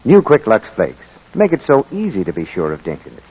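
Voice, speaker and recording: A man's voice: on the low side, wildly swooping pitch, brisk, a little mellow, slightly rough. A man working as a commercial announcer, reading a scripted 1940s radio advertisement. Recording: muffled old 1940s radio recording.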